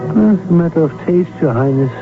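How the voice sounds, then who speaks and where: An actor playing a deferential, dryly candid doctor who attends a prince in a radio drama, with a fussy, obsequious manner. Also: male voice, fairly high pitch, lively intonation, a little fast. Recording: tinny vintage radio broadcast, music behind.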